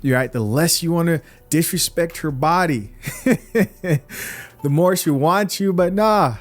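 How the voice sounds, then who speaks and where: A man's voice: fairly high pitch, slow. A young man from Zambia hosting a podcast, giving blunt, opinionated commentary straight to his viewers. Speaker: podcast host reacting to clips.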